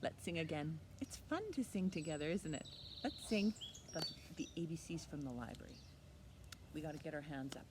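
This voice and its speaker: A woman's voice: low-pitched, brisk, heavy background noise. A woman presenting a children's storytime lesson, encouraging young listeners with simple step-by-step instructions.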